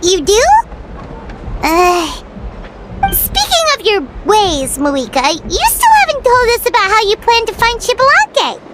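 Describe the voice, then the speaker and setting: A woman voicing a tiny, excitable floating girl companion in a video game, chirpy and food-loving. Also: very high-pitched, measured pace, heavy background noise, exaggerated voice. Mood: indignant. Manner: chirpy, refers to herself in third person. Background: game music.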